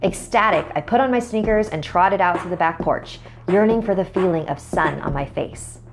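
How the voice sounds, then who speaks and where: A woman's voice: fairly low pitch, moderate pace, soft background music. A woman narrator reading a scripted horror story in the first person.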